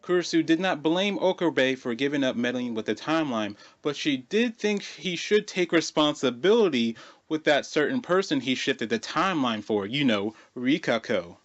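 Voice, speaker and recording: A man's voice: mid-range voice, expressive, moderate pace, muffled recording. A man hosting a video, giving an informal, conversational explainer commentary and repeating phrases for emphasis. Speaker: video host.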